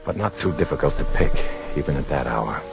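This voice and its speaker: An actor playing a tense undercover infiltrator, narrating in a clipped, dramatic 1950s radio drama style. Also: male voice, a bass voice, expressive, brisk, creaky, heavily acted. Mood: tense, hushed. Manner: clipped, dramatic, hard-boiled delivery. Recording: muffled 1950s radio recording with music.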